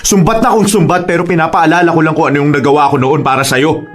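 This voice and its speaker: High-voiced, emotional young father played by an actor. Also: steady tone, fast talker, a little hiss or hum, close to an everyday voice. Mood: hurt, accusing. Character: wounded, resentful young father and boyfriend. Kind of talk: Filipino radio drama acting.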